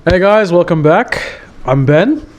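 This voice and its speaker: A man's voice: high voice, expressive, slow pace. A man hosting a casual podcast, bantering and joking with slang. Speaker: podcast host.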